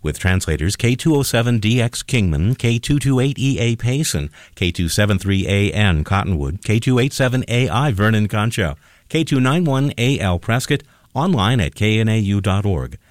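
A man reading a crisp, formal radio station identification. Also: lowish voice, animated, moderate pace, no room sound, studio quality. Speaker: station announcer.

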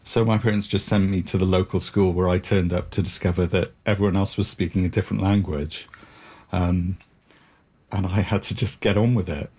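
A man's voice: low voice, fairly even intonation, fairly quick, a little mellow, slightly rough. A middle-aged man from Wales telling stories and explaining as an interview guest, in an articulate, reflective, conversational way.

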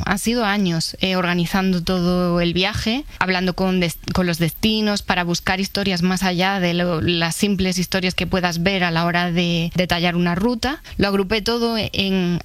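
A woman's voice: lowish voice, clean tone, no room sound. A woman, a journalist and author, answering in a conversational interview, sometimes repeating words as she thinks.

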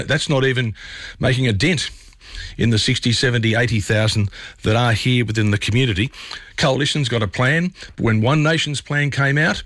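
An Australian man hosts an evening talk radio show, his mid-pitched voice a little scratchy from a chest cold. He walks listeners through the night's news in a conversational way. The sound is dry and close, straight from a studio microphone. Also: a bit bright, no room sound. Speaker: radio host.

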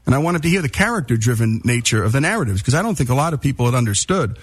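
New Jersey radio host, slightly husky. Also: male voice, medium pitch, very animated, racing. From northern New Jersey, US. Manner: enthusiastic, talks up the guest. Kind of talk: radio interview with scripted intro.

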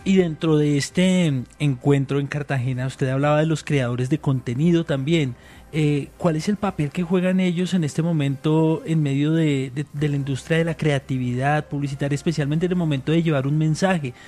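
A man asking formal interview questions in a deliberate way. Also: on the high side, warm tone, faint music behind.

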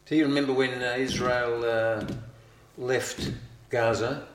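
A man's voice: medium pitch, steady tone, measured pace. A male show host asking a guest questions in a chatty, conversational way. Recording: echoey room with faint noise.

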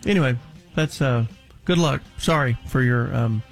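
A man's voice: mid-range voice, moderate pace, vocal fry, soft background music. A man hosting a casual radio call-in show, bantering and giving advice in a blunt, teasing manner.

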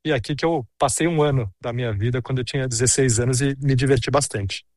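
A man's voice: mid-range voice, fast talker, darkish tone, dry recording, studio quality. A man in his thirties giving a chatty on-location report, telling stories with many asides. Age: 30s.

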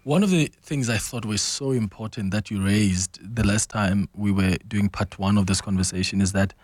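A man's voice: on the low side, moderate pace, a little mellow, dry recording. A man in his thirties hosting a conversational interview, engaged and affirming, with self-deprecating humour. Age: 30s. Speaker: podcast host.

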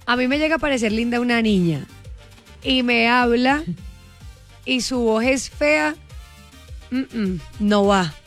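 A woman hosting a radio show, teasing and bantering in a lively, quick way. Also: fairly high pitch, soft background music. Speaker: radio host.

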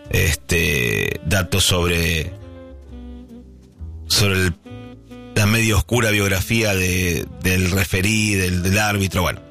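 Chatty Rioplatense radio host, low voice. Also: male voice, slow, vocal fry, long pauses, fairly bright tone, faint music behind. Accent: Rioplatense Spanish. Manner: chatty, thinks aloud with many fillers and restarts. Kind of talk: casual early-morning radio chat.

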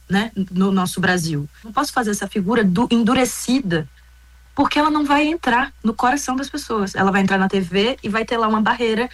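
A woman's voice: medium pitch, no echo, rough recording. A woman from Bahia, an actress answering interview questions thoughtfully, often restarting her phrases. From Bahia, Brazil. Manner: thoughtful, with many restarts and small laughs.

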